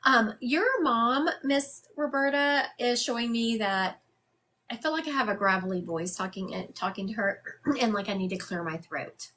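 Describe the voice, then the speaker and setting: Female psychic medium, bright forceful tone, conversational and chatty. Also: on the high side, animated, moderate pace, slight background noise. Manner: chatty and conversational, often laughing. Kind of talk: live-streamed reading for viewers.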